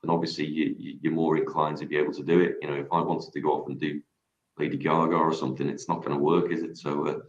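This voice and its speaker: A man with a deep voice, chatting casually as a guest singer, modest and rambling with many restarts. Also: flat delivery, fast talker, reverberant, muffled recording. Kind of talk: casual radio chat.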